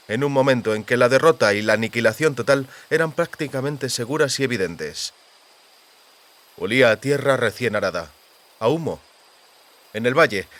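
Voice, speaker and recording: A man's voice: medium pitch, a bit bright. A man reading literary fiction as a scripted audiobook narration.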